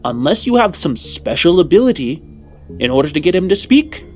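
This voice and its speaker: A man's voice: on the high side, very animated, a little fast, faint music behind, voice pushed up. A man playing a hardened, blunt male enforcer in a tabletop game, stern and matter-of-fact.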